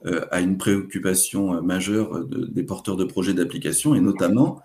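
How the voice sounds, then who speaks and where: A man presenting a webinar, explaining examples in a hesitant, halting way. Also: deep voice, steady tone, mellow.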